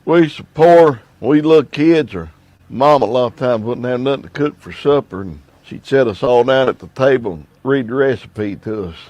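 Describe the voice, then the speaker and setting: A man telling a comic tale in a folksy, deadpan way with rural colloquial phrasing. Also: medium pitch, normal speed, slight background noise. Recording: dull, lo-fi archive clip.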